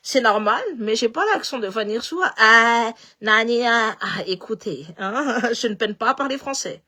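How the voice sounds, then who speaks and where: A woman with a slight Malagasy accent talking in a casual, animated way, repeating words as she goes and switching between languages. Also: on the high side, normal speed. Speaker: political commentator. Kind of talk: casual live talk.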